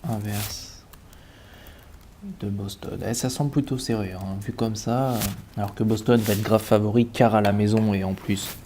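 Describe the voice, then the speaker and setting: A man's voice: fairly low pitch, steady tone, normal speed, dark tone, a little hiss or hum. A man with a cold, musing aloud over basketball stats, muttering and repeating words while he eats. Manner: eating while talking. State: has a cold. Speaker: sports betting analyst. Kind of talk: casual thinking-aloud match analysis.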